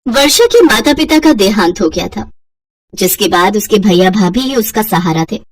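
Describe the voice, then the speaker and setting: A woman's voice: medium pitch, expressive, moderate pace, slight background noise. A woman narrating a scripted moral story as a storyteller.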